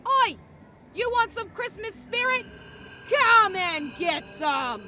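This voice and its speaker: A woman's voice: very high voice, big pitch swings, slow pace, sharp tone, soft background music, heavily acted. A woman playing a bossy, feisty wizard ghost-hunter in a radio drama, sardonic and exclamatory. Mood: urgent, fierce. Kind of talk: fan radio drama acting.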